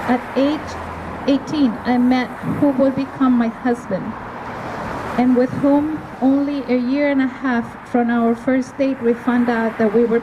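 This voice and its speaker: A middle-aged woman from El Salvador giving an earnest, measured testimony at an outdoor evening rally, pausing between phrases. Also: on the high side, dull audio. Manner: earnest, measured delivery with pauses. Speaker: rally speaker. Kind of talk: prepared testimony speech. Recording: outdoor recording with clear ambient noise.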